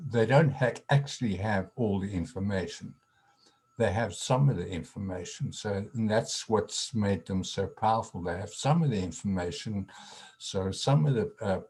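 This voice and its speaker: Very low, husky man, halting guest speaker with lots of fillers. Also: a little mellow. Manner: halting, many ums and restarts. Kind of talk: casual interview talk.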